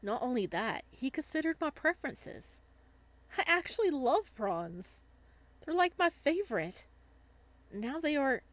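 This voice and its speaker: High-voiced woman reading a visual novel. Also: sing-song, unhurried, no echo. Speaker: let's player reading a visual novel aloud. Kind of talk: casual game commentary mixed with dramatic narration.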